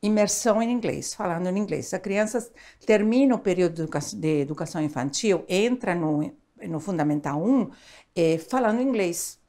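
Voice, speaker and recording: A woman's voice: fairly low pitch, fairly quick. An older woman from Argentina, a child education expert chatting animatedly as an interview guest, recorded on a lapel mic in a small studio. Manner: animated, gestures a lot, tag questions. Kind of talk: casual interview chat. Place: small studio, seated on a sofa.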